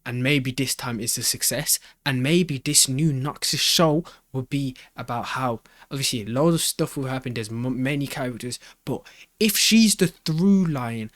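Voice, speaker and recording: Man, medium pitch, casual reaction host, slangy, rambling, repetitive phrasing, dry recording. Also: measured pace, a bit bright, no echo. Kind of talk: casual reaction commentary, thinking aloud.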